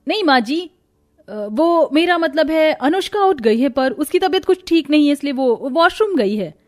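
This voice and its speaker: A woman plays a protective elder sister-in-law in a cartoon household drama. She has a fairly high voice and an instructive, responsible way of speaking. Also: expressive, normal speed, faint music behind. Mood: nervous. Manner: gently instructing. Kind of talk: Hindi cartoon family story voice acting.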